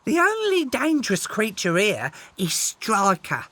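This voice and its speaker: A man's voice: high-pitched, huge pitch swings, measured pace, sharp tone, dry recording, voice pushed up, heavily acted. A man voicing an injured female talking bird in a storybook, indignant and pleading in a theatrical way. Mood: earnest.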